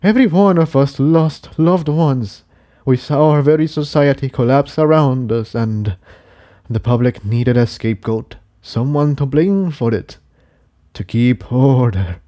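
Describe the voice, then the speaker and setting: A man's voice: medium pitch, unhurried, mellow, voice pushed up, natural voice. A man voicing an elderly, weary pony ruler with a quavering, solemn delivery in a story reading.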